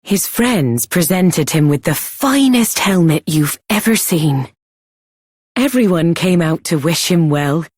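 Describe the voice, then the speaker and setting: Doting British mum for a game: middle-aged, breathy, very lively intonation. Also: female voice, on the low side, takes it slowly, no room sound, clean studio sound, exaggerated voice. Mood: concerned, tender. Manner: affectionate, motherly, expressive. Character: doting, chirpy middle-aged mother of a young hero. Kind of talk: scripted video game voice acting.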